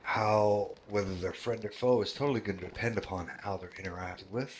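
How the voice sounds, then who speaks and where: A man with a deep voice who runs a roleplay world, talking casually to his group, halting, with many fillers and restarts. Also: fairly even intonation, slight background noise. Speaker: roleplay game world organizer.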